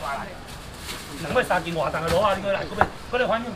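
Loud, fast Taiwanese market auctioneer. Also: male voice, high-pitched, lively intonation. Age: middle-aged. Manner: loud, teasing, rapid-fire price calling. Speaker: seafood auctioneer. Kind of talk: rapid street auction patter. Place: outdoor market stall under an awning. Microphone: far camera. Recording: distant voice with loud street noise.

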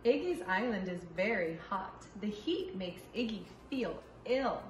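A middle-aged woman preschool teacher reading and teaching to young children in a clearly enunciated, sing-song way, recorded in a room from a few feet away. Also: medium pitch, animated, measured pace, roomy sound, slight background noise. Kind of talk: children's story reading and letter lesson. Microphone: camera a few feet away.

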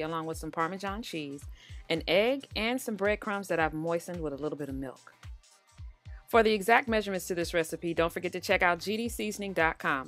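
Low-voiced woman narrating a recipe over music. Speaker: cooking show host. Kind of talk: casual recipe tutorial narration. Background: background music.

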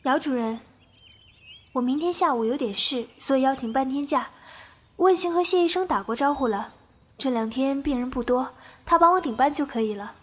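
A woman's voice: sits high, fairly quick, fairly bright tone. An actress playing a sweet, confident young female doctor in an audio drama. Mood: polite, assured.

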